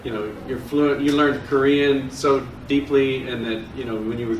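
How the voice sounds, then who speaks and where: A man's voice: medium pitch, fairly even intonation, moderate pace. Laughing male interviewer in an echoey room. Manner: friendly, laughs often, hesitant fillers. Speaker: interviewer, club member. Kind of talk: casual interview. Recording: echoey room, distant mic, noisy.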